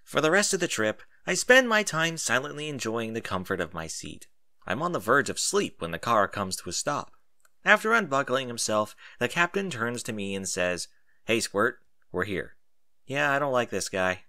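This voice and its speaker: A man narrating a first-person story in an animated audiobook style. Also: mid-range voice, moderate pace, no room sound, clean studio sound.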